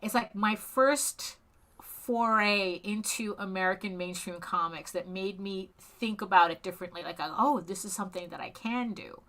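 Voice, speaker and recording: Bright-voiced middle-aged woman, halting. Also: mid-range voice. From Spain. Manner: halting, with frequent restarts and chuckles. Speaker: comic artist guest. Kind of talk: casual interview chat.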